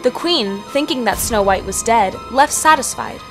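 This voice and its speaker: Woman narrating a children's fairy tale, soft music. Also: a little high, expressive, moderate pace. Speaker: storyteller.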